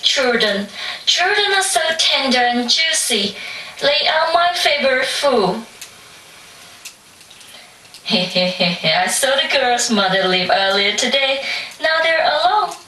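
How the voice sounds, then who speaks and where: A woman's voice: high voice, very animated, bright, forceful tone, exaggerated voice. A woman voicing a boastful, hungry tiger posing as a grand aunt in a theatrical puppet show. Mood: boastful, greedy. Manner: theatrical, slow and wheedling. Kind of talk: puppet show stage acting. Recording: echoey hall from far off, faint noise.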